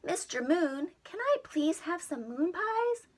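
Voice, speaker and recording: A woman's voice: very high voice, big pitch swings, slow, voice pushed up, heavily acted. A woman voicing an eager, grumbling young boy adventurer from a storybook, with an exclamatory, wishful delivery. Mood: pleading.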